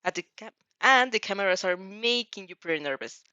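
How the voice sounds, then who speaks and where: A woman's voice: mid-range voice, animated, brisk, bright, forceful tone, no echo, lo-fi sound. A woman hosting a casual fanfiction read-aloud, hesitant and giggly, often correcting herself as she goes. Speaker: host of fanfiction reading show.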